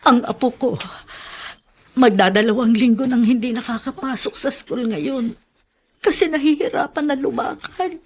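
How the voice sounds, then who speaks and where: Radio drama grandmother: Filipino, poor and devoted, high voice, anxious and flustered. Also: husky. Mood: flustered, apologetic. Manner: flustered, halting, pleading.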